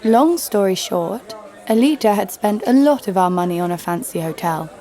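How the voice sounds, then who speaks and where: An actress playing a ruthless, sardonic assassin in a narrated audio drama, deadpan and menacing. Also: female voice, medium pitch, normal speed, lightly acted. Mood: deadpan storytelling. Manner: menacing, deadpan, foul-mouthed. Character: ruthless, sardonic woman assassin, destroyer of cities. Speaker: lead actor and narrator. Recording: music behind the voice.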